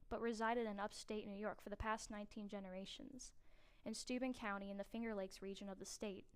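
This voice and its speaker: A woman's voice: medium pitch, clear, resonant tone. A young woman from New Orleans, a university student, reading her own prepared personal narration in an earnest way. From New Orleans, USA. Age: young adult.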